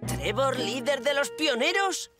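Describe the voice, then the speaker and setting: A woman's voice: high-pitched, lively intonation, unhurried. A woman voicing a cheeky, self-important schoolboy in a children's cartoon. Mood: scornful, cocky. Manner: cocky, scornful. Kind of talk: animated children's cartoon dub. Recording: loud music behind dialogue.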